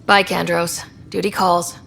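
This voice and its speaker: A low, slightly gravelly Pathfinder, matter-of-fact, game sound with faint noise. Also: female voice, flat delivery, unhurried, fairly bright tone, full character voice. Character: female Pathfinder, commanding expedition leader.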